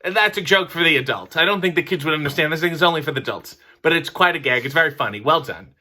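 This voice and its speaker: Animated, bright-voiced man with wry asides. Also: on the high side, fast. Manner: wry, mock-critical commentary. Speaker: storyteller host. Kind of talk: picture book reading with comic asides.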